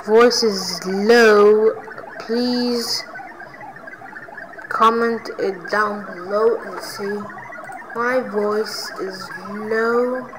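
A woman hosting her own gaming channel, giving let's play commentary and reacting loudly to each win and death. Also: medium pitch, background noise.

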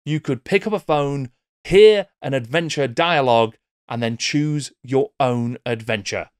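British man, middle-aged, scripted narration, theatrical emphasis, wry, medium pitch, close desk microphone. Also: measured pace, clear, resonant tone, no echo. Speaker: YouTube host. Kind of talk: scripted video essay narration. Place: small book-lined home room. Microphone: close desk microphone with pop filter.

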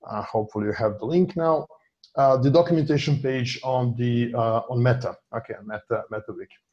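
A man's voice: medium pitch, a little fast, a little mellow, dull audio. A man, a data scientist walking an online meeting through a dashboard on a shared screen, with many fillers and restarts. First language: Serbian. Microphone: video call.

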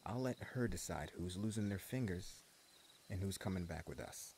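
A deep, gravelly-voiced gang leader of the wasteland, smug and cruel, who taunts his captives in a mock-friendly drawl, as a man acts him out in an audio roleplay. Faint crickets and night ambience hum behind him. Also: moderate pace, a little mellow. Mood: taunting. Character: menacing male post-apocalypse gang leader, smug and cruel. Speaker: voice actor.

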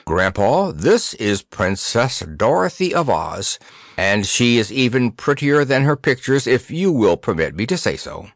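A man's voice: mid-range voice, sing-song, measured pace, no echo, clean studio sound. A man voicing the drowsy, gracious severed head of a storybook king, drawling in a courtly manner.

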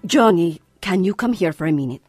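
Radio drama mom: earnest, motherly, husky, very expressive pitch. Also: fairly quick, no echo, soft background music. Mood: gentle, confiding. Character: caring, worried mother of two boys.

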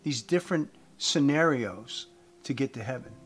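A man in his fifties giving an earnest evangelistic talk to camera as a host, phrasing deliberately with emphasis. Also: mid-range voice, lively intonation, breathy edge. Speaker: host giving a faith message. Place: indoors, room with wooden beams. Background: guitar music.

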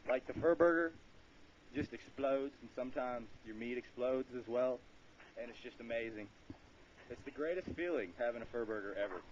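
A male prank caller with a medium-pitched voice talks in a rambling, slangy, straight-faced way. It is a casual phone conversation. The line is dull and muffled, with faint noise and clicks. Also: normal speed. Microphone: telephone.

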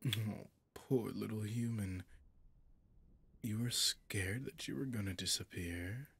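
A man's voice: on the low side, fairly bright tone, exaggerated voice. A man voicing a possessive, gloating demon in an ASMR roleplay, seductive and menacing, often slipping into a whisper. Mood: condescending, reassuring. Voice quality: gravelly, breathy, often whispering. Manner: slow, seductive, menacing, condescending.

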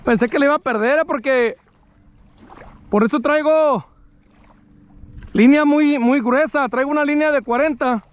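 A man's voice: extremely high pitch, normal speed, pauses often, highs cut off. A man in his thirties with a Mexican accent, chatting casually and colloquially with some swearing as he fishes outdoors on a lake shore. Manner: colloquial, swearing, repeats phrases. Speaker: angler filming his fishing outing. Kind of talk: casual fishing vlog chat.

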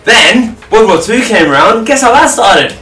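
A man's voice: high-pitched, animated, normal speed, sharp tone. An Australian man casually telling history stories over gameplay, rambling, swearing and repeating himself. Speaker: storyteller on a gaming video. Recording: roomy, distant mic, faint noise.

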